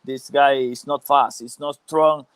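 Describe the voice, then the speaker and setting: Guy being interviewed, smooth clear voice, halting, repeats stuff, dry sound. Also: mid-range voice, steady tone, a bit bright, no echo. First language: Spanish. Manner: halting, searching for words, repeats phrases. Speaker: former professional footballer, interview guest. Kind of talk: casual live interview.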